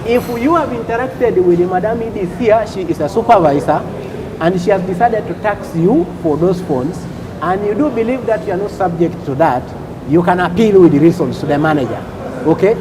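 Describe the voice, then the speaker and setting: A man from Uganda, a customs official on a talk show, explaining rules deliberately and repeating points with "okay?" checks. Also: high voice, lively intonation, noisy background.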